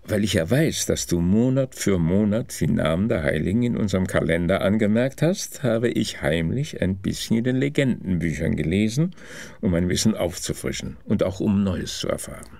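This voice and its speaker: A man's voice: deep voice, animated, moderate pace, vocal fry, darkish tone, no room sound. An actor playing a kindly father who explains saints' stories in a scripted audio drama. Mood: kindly, explaining.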